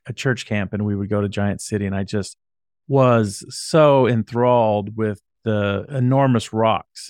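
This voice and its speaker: A man from Southern Illinois co-hosting a podcast, friendly and a bit halting as he talks. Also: mid-range voice, no echo, studio quality. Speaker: podcast co-host. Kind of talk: casual interview talk.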